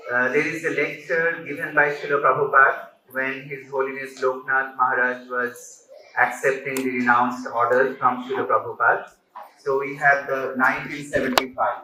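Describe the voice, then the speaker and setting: A man's voice: mid-range voice, level tone, normal speed, bright, forceful tone. A man making informal announcements at a temple ceremony, with fillers between phrases. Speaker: ceremony organizer. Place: open temple courtyard. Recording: distant, echoey mic with a crowd.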